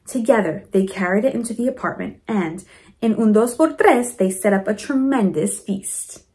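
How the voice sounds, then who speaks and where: A woman reading a storybook aloud to children in an expressive way, with chatty asides to her young listeners. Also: mid-range voice, normal speed. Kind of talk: animated children's read-aloud.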